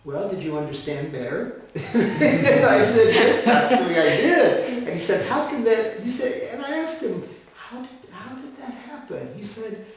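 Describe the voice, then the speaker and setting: A middle-aged man, born in the States, telling a personal story as an interview guest in a gentle, unhurried way. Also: sits high, expressive, crowd noise. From United States. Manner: gentle, unhurried, pauses with ums. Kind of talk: reflective storytelling interview answer. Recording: echoey room from far off.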